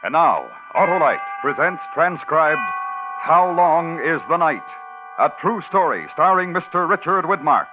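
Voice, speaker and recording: Male program announcer, higher-pitched, dramatic vintage introduction, muffled broadcast over music. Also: expressive, measured pace. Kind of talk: 1950s dramatic show introduction. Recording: muffled old radio recording, loud music behind.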